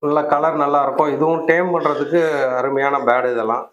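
A man's voice: a little high, steady tone, brisk, fairly bright tone, highs cut off. Male breeder, plain explainer, recorded in a roomy echoing aviary. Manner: plain, matter-of-fact explaining. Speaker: bird breeder and farm owner. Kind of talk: casual explanation to an interviewer. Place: indoor aviary with cages. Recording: echoey room.

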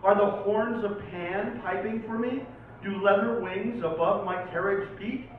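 A man performs as a poet at a live reading, his delivery dramatic and very unhurried. He is picked up from some distance in a heavily echoing space. The sound is thin and tinny. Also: high-pitched, fairly even intonation. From Oregon, United States. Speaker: poet reading own poems. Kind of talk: live poetry reading with informal introductions. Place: live poetry night venue, recorded from a distance. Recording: tinny, very echoey, distant, faint noise.

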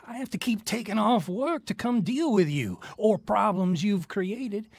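Stressed female guardian, husky and animated, weary reproach. Audio drama. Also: mid-range voice, a little slow, dry recording. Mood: strained, weary. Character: stressed, exasperated adult female guardian, worn down.

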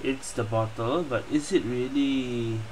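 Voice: medium pitch, a little slow, noisy background. A gaming streamer giving casual commentary, musing aloud in short remarks.

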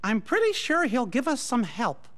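A man voicing a small cartoon mouse king for a video game, folksy, gentle and earnest in manner. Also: very high voice, wildly swooping pitch, normal speed, exaggerated voice. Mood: earnest, explaining. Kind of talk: video game cutscene acting.

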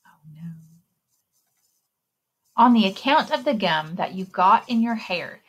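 A woman in her thirties reading a picture book aloud to children in an expressive, exclaiming way, speaking directly to her young listeners, with a slightly husky voice. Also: mid-range voice, slow pace, rough recording. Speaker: children's librarian and story time reader. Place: indoor room, recorded screen share with webcam.

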